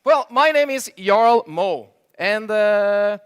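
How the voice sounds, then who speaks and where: A man's voice: sits high, expressive, takes it slowly, clear, resonant tone. A middle-aged man from Norway with a Norwegian accent, giving a joking stage presentation at a conference and quizzing the audience in slightly imperfect English. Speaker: conference speaker.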